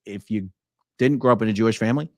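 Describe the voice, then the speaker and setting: A man's voice: fairly low pitch, quick pace, darkish tone, dry recording, studio quality. A man hosting a live stream, reading viewer messages and commenting in a casual, blunt, conversational way.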